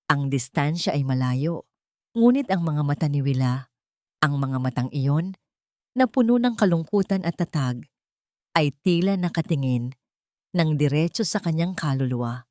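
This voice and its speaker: A woman's voice: low-pitched, lively intonation, moderate pace, long pauses, a little mellow, no room sound, studio quality. A woman narrator reading a scripted romantic drama in a dramatic storytelling style.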